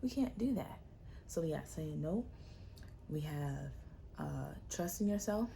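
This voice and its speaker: A young woman hosting a solo podcast, talking in a sassy, emphatic way with a loud, projecting voice. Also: low-pitched, a little slow, gravelly, a little hiss or hum. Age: young adult. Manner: animated, sassy, emphatic repetition. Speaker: podcast host. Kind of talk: casual solo podcast talk.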